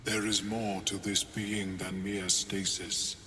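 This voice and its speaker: An actor playing an ancient, courteous, calculating mind flayer in a video game, speaking formally and deliberately. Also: male voice, deep voice, level tone, bright, forceful tone, slight background noise, full character voice. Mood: calm, calculating. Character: ancient, courteous, calculating male illithid, calm and cerebral. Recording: long cavernous reverb.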